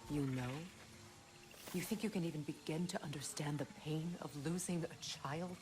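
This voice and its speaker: A woman's voice: deep voice, normal speed, background noise, natural voice. A woman playing a proud, grieving, vengeful goddess in a video game, with a guarded, cutting delivery. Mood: bitter, defensive. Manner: guarded, cutting, dryly sardonic. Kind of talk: cinematic video game acting.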